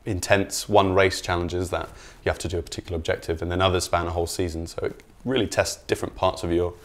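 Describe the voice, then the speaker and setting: A man's voice: deep voice, steady tone, fast talker. A man speaking as a game designer in an interview, explaining things casually and informally.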